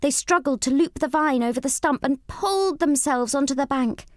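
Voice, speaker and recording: A woman narrating a children's storybook read-along in an expressive, scripted style. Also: sits high, normal speed, no room sound, studio quality.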